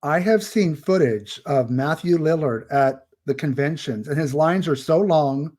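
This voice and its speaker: A man's voice: on the high side, measured pace, darkish tone. Chatty opinionated man hosting a talk. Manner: chatty, opinionated, rambling asides. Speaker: podcast host. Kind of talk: casual interview chat.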